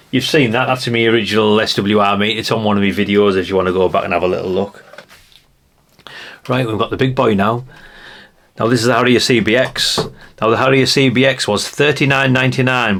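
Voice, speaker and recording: Low-pitched middle-aged British man, chatting casually. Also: creaky, a bit bright. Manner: rambling, chatty, addresses viewers informally. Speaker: vlogger showing vintage CB radios and catalogues. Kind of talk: casual unscripted show-and-tell chat.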